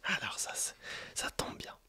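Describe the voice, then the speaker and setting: A person whispering in an ASMR roleplay as a coffee shopkeeper, speaking to the listener in a hesitant, improvised sales patter with an airy, breathy voice. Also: unhurried, pushed and bright. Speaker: ASMR performer playing a coffee roastery shopkeeper.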